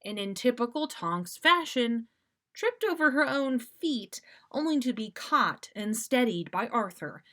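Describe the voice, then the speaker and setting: A woman's voice: a little high, big pitch swings, unhurried, bright, forceful tone. A woman reading a story aloud expressively, as a podcast host would.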